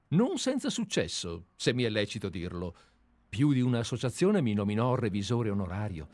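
A man's voice: mid-range voice, a little mellow, no room sound, close to an everyday voice. Man playing a meek, swooping, obsequious amateur detective. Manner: deferential, wordy, formally polite. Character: meek, obsequious former bookkeeper turned amateur private detective. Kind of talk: radio play acting.

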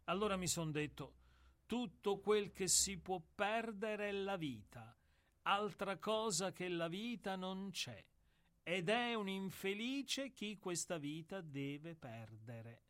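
A weary old executioner delivers a radio play monologue. His voice sits high and moves slowly, with declamatory weight and long pauses. He sounds humble and philosophical, brooding over a grim trade. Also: big pitch swings, a bit bright, exaggerated voice. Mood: reflective, confessional.

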